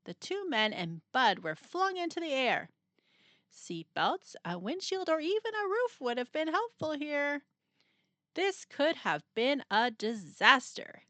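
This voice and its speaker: A woman reads a children's story aloud with a dramatic, expressive delivery. Her voice is bright and somewhat high, swinging widely in pitch. She uses suspenseful pauses, and the recording is dry and close. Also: no room sound. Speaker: storybook narrator. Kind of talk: scripted children's read-aloud narration.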